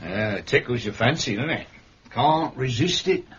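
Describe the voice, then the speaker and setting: Grumpy Cockney manservant, radio drama: husky, blunt, cheeky, very animated intonation. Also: male voice, mid-range voice, normal speed, lo-fi sound. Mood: nosy, teasing. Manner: grumbling, colloquial, blunt.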